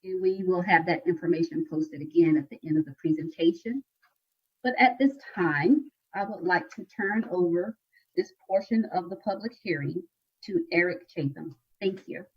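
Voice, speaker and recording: A woman's voice: on the low side, moderate pace, breathy edge. A woman moderating a public hearing, making formal announcements over dull meeting audio.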